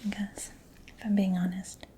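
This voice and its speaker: Female ASMR performer, soft whispery mechanic roleplay, dark mellow tone, close-miked with some noise behind. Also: mid-range voice, flat delivery, moderate pace. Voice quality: soft, breathy, mostly whispered. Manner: gentle, personal-attention murmuring. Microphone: close-up ASMR microphone.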